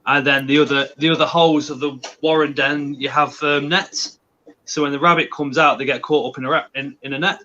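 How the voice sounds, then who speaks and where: A man hosting a casual livestream chat, talking in a relaxed, chatty way. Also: fairly high pitch, fairly quick. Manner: relaxed, chatty, some restarts. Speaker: channel host. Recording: muffled lo-fi audio.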